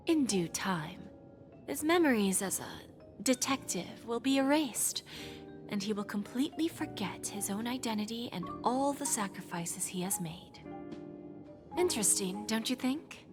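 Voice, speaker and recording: An actress playing a poised, calculating dealmaker in a video game, with a measured, theatrical and knowing delivery. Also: female voice, medium pitch, natural voice. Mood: smug, probing. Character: poised, calculating female dealmaker who grants wishes at a price. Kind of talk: video game character dialogue. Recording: music playing behind the voice.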